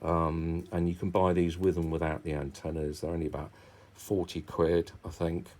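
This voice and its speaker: A man's voice: low voice, flat delivery, moderate pace, darkish tone. A middle-aged British man giving a casual, explanatory hobby talk, rambling informally and thinking aloud as he goes. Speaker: amateur radio hobbyist presenter.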